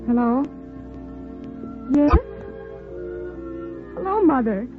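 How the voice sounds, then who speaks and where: A woman's voice: high voice, animated, extremely slow, exaggerated voice. An actress playing a fragile, apologetic young American woman in a 1940s radio drama. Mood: emotional relief. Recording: muffled old radio, faint music.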